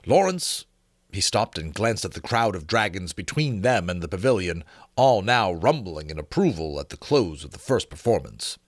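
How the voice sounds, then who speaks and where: A man reading a novel aloud as narrator, in a theatrical, expressive storytelling style. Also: low-pitched, moderate pace, dry recording, clean studio sound. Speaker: narrator, book reader. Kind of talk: dramatic storytelling, scripted narration.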